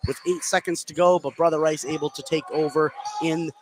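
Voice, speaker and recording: A man's voice: a little high, fairly even intonation, fairly quick. A man doing live play-by-play basketball commentary for radio, fluently narrating the action from inside a gymnasium. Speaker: play-by-play announcer. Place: indoor high school gymnasium. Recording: noisy gym ambience behind commentary. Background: gym noise.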